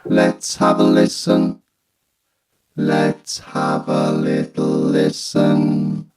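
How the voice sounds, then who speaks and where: Robotic singing machine: vocoded, droning, slow, mechanical short phrases over synth chords. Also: male voice, over loud music. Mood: mechanical, playful. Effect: vocoder through synthesizer chords.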